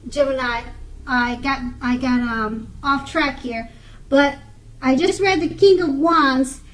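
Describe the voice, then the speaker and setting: Slow, high-voiced woman reading tarot. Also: a bit bright, low-quality recording. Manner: halting, pausing and repeating phrases. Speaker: tarot reader. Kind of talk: spoken tarot card reading, unscripted.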